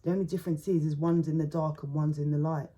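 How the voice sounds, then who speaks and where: Mellow, halting woman tarot reader. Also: little pitch movement. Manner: halting, repeats words while thinking aloud; says her voice feels low today. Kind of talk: relaxed spoken tarot reading.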